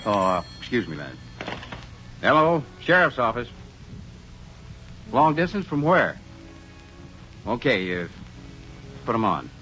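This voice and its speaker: Blustery small-town sheriff, forceful, animated, condescending, for a vintage radio western. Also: male voice, medium pitch, measured pace, long pauses, soft background music. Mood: brisk, evasive. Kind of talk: 1950s radio western acting. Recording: muffled old radio recording.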